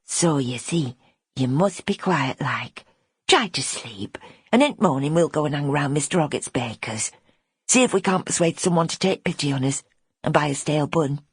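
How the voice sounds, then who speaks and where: A woman voicing a motherly poor orphan girl with a Northern English accent, gentle but firm, in an audiobook. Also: sits low, lively intonation, normal speed, slightly rough, no echo, studio quality, full character voice. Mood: hushed, soothing. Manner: coaxing, plain dialect talk. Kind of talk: audiobook character acting.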